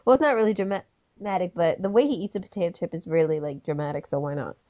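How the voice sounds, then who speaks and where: A woman's voice: lowish voice, very fast, warm tone. A woman in her twenties chatting casually, rambling through stories and giggling often. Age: 20s. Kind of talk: casual chat.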